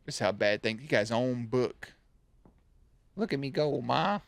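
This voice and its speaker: A man hosts a reaction video in a casual, playful way. His voice sits at an average pitch, and he talks in loose slang with plenty of swearing and goofy asides. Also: animated, a little slow, gravelly, no echo. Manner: jokey, playful, slangy and profane.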